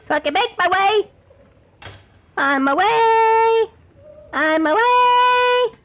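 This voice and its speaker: High-pitched, playful woman gamer. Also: animated, drawn-out words, noisy background. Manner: sing-song, theatrical exclamations. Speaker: gamer playing in character. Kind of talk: playful gameplay commentary.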